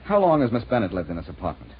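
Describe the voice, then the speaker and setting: An actor playing a determined, worried amateur sleuth in a 1940s radio detective drama. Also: male voice, fairly low pitch, huge pitch swings, very fast, creaky, husky, heavily acted. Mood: insistent, suspicious. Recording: muffled vintage radio recording.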